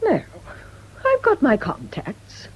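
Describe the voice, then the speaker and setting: A resentful, righteous nurse in a radio play. Her husky voice leaps dramatically up and down. She presses each accusation insistently, with grim certainty. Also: sits high, huge pitch swings, slow pace, full character voice. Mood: indignant, certain. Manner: insistent, insinuating, emphatic.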